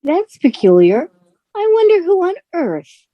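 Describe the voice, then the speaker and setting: A woman's voice: extremely high pitch, big pitch swings, unhurried, no room sound, highs cut off, heavily acted. A woman playing an affectionate, curious, easily vexed young newlywed wife in a radio suspense play. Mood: puzzled, uneasy.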